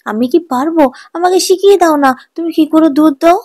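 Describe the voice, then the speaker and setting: A woman's voice: extremely high pitch, quick pace, mellow, pitched above the performer's own voice. A woman voicing an affectionate, eager young village grandson in a cartoon folk tale, chatty and coaxing. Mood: concerned, eager. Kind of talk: animated children's folk tale acting.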